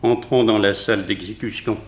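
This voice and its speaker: An actor playing a stern prison warden, solemn, formal and commanding, in a 1960s French radio drama. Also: male voice, on the low side, steady tone, normal speed, slight background noise, natural voice. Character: stern, authoritative male prison warden presiding over execution. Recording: echoey hall, old radio production.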